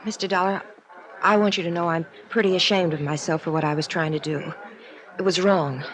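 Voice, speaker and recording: A woman's voice: fairly low pitch, fairly even intonation, fairly quick, lightly acted, rough recording. A woman playing a nervous, stranded young woman in a radio drama, talking in an earnest, pleading, apologetic way. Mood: confessing. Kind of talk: 1950s radio drama acting.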